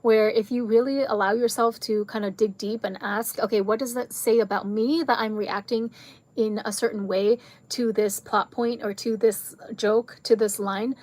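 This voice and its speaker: A woman with a fairly high voice talks casually to camera, rambling from one tangent to the next and leaning on fillers. Her voice is fading and a little hoarse. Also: steady tone, moderate pace, darkish tone. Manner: chatty, rambling, jumps between tangents; frequent fillers like "like" and "um". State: losing her voice. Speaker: video creator reviewing a film.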